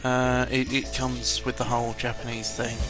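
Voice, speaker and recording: Rambling, grumbling man over loud music. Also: medium pitch, completely flat delivery, normal speed, low-quality recording. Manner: hesitant, meandering, opinionated grumbling with mild swearing. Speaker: gaming vlogger. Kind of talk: rambling casual audio log over gameplay.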